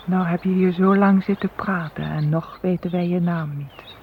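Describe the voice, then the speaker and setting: Inquisitive talking water, thin sound. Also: male voice, sits high, steady tone, normal speed. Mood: curious, chiding. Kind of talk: literary radio drama acting. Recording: thin phone-like sound, outdoor noise.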